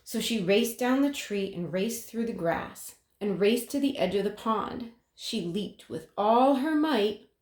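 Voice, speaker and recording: A woman reading a children's picture book aloud in an expressive way, with dramatic pauses. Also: medium pitch. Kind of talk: children's story read-aloud. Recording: roomy, echoing sound.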